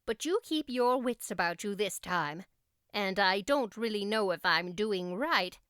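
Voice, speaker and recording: Audiobook role: woman as no-nonsense older female guardian, clear, dry, scolding delivery. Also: mid-range voice, lively intonation, unhurried, a bit bright, no room sound. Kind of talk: audiobook character voice.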